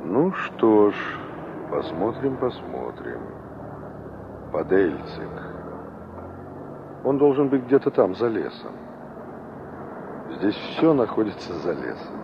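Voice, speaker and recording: An actor playing a wistful young engineer in an old Soviet radio play, musing to himself and polite with strangers. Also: male voice, lowish voice, sing-song, slow, long pauses, heavily acted. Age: young adult. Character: young male engineer revisiting a remembered village, wistful. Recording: noisy, dull old radio play recording.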